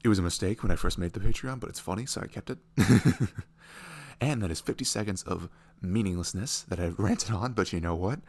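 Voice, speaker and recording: A man's voice: sits low, brisk, a little mellow. A man chatting casually through a rambling promo, amused and self-deprecating about himself. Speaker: audio creator and host.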